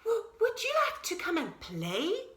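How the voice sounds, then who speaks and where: Young doe voiced by a woman, timid and expressive, bright high voice, storybook reading. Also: measured pace, exaggerated voice. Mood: hopeful, friendly. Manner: sighing, anxious, expressive. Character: timid, sweet young female deer wanting a playmate. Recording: roomy echo.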